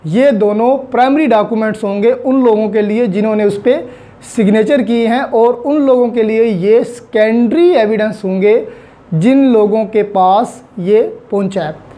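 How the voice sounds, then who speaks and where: Mellow-voiced Pakistani man lecturing. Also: high-pitched, normal speed. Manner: didactic, poses questions and answers them; mixes in English terms and phrases. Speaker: law lecturer. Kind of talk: explanatory lecture with examples.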